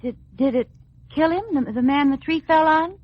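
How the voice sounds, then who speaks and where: An actress playing a bubbly, teasing, affectionate suburban housewife in an old radio comedy, with a playfully wry, animated manner. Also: female voice, high voice, normal speed, natural voice. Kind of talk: 1940s-50s radio comedy acting. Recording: muffled vintage radio recording.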